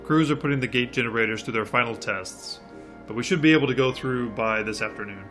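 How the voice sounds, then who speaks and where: A man playing a deadpan, matter-of-fact colleague in a comedic audio drama. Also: mid-range voice, fast, soft background music, close to an everyday voice.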